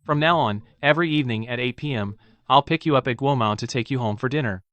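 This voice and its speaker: A man's voice: mid-range voice, quick pace, fairly bright tone, dry recording, lo-fi sound, natural voice. A man playing a protective, bossy company president in a romance audio drama, speaking firmly. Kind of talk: dubbed romance drama acting.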